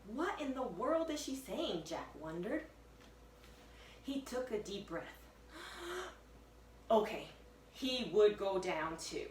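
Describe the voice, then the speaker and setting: A woman, a first-grade teacher, reading aloud to young pupils with expressive, suspenseful storytelling. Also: mid-range voice. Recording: echoey room, distant mic, faint noise.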